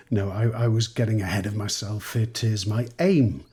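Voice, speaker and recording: Flustered, husky Victorian gentleman doctor. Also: lowish voice, fairly even intonation, measured pace. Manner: hesitant, flustered, stammering. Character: evasive Victorian gentleman doctor, nervous and indignant. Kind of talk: audio drama acting.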